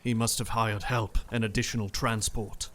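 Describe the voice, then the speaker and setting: An actor playing a sharp-minded male vampire hunter who reasons emphatically in an audio drama. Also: on the low side, little pitch movement, moderate pace, natural voice. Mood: reasoning. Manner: analytical and emphatic.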